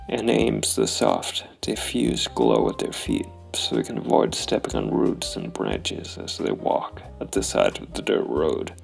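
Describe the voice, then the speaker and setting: A man narrating a solo noir role-playing game as its host, telling the story in an unhurried, deliberate way. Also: extremely low pitch, gravelly.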